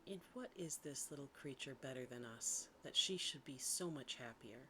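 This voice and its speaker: Storybook schemer voiced by a woman: spiteful young elder sister, envious, low register, sly delivery, slightly noisy audio. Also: lively intonation, normal speed, bright, forceful tone, heavily acted. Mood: resentful.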